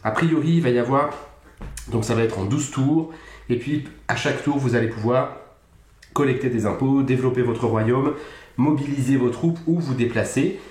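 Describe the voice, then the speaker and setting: A man's voice: mid-range voice, moderate pace, darkish tone. Chatty man in an echoey room. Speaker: podcast co-host. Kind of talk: casual chat about board games.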